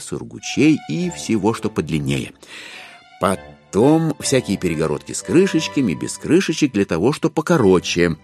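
A man narrating classic literature in an audio drama, with a wry, unhurried storytelling manner. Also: fairly low pitch, a little mellow. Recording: dry studio, faint music behind.

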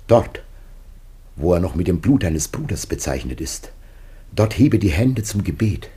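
An ancient patriarch facing death in a classic radio play. His voice is extremely deep and rough-edged, and he declaims slowly, with grave anguish and tenderness. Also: big pitch swings, dark tone, exaggerated voice. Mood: anguished, exalted. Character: Adam, ancient dying patriarch, solemn, anguished, tender father.

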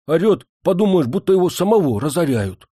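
A man acts out a cheeky peasant manservant from a historical novel. The voice is folksy and mocking, pushed high and loud, with big swings in pitch. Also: sing-song, a little fast, dry recording, studio quality. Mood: loud, urgent.

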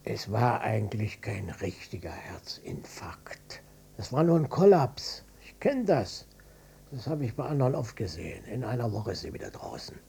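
A man's voice: medium pitch, gravelly, dark tone, slightly rough, exaggerated voice. An actor playing a self-centred old father in a radio drama, grumbling, resigned and repetitive. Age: older. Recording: noisy cassette copy of radio play.

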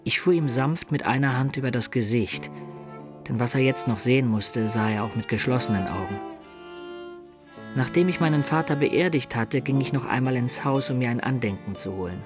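Middle-aged schemer narrating his life male actor, reflective, measured, mid-range, music bed. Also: mid-range voice, close to an everyday voice. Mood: reflective, solemn. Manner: measured, literary storytelling. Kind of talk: first-person radio drama narration.

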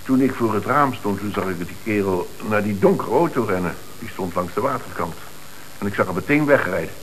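Radio play company director, acted by a man: earnest, easily rattled, halting, Netherlands Dutch accent, faintly hissy old recording. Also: on the low side, animated, lightly acted, poor audio. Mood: explaining. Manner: halting, repeats words when rattled. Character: agitated, earnest male company director, flustered witness.

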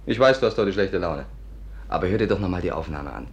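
An actor playing a cocky, persuasive, charming schemer with a brisk, coaxing manner in a 1950s radio drama. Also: male voice, sits low, expressive. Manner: brisk, coaxing, self-assured. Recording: noisy old 1950s radio recording.

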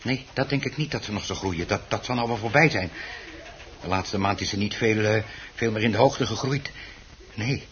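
An actor playing an eager, proud and anxious hobby grower who stammers and repeats himself when excited, in a radio play. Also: male voice, lowish voice, normal speed, lightly acted. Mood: musing. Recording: dull, slightly noisy old radio recording.

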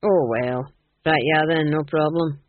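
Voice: medium pitch, steady tone, unhurried, no room sound, narrow, tinny audio, close to an everyday voice. A narrator voicing a polite, businesslike male street thief with courteous salesman patter. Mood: let down.

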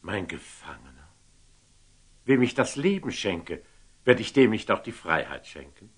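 An actor playing a magnanimous, commanding sultan, with measured, regal delivery in a classic German radio drama. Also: male voice, lowish voice, sing-song, husky, heavily acted. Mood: magnanimous, tender. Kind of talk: theatrical German radio drama acting of classic stage verse.

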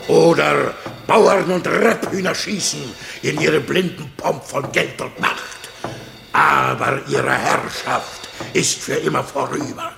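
A man's voice: a little high, takes it slowly, vocal fry, pushed and bright, heavily acted. An actor playing a grandiose man who orates like a ruler, declamatory and solemn, in 1960s German radio play style. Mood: rousing, bombastic. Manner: declamatory, solemn, pompous oratory. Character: grandiose, bombastic Irish everyman turned orator and ruler.

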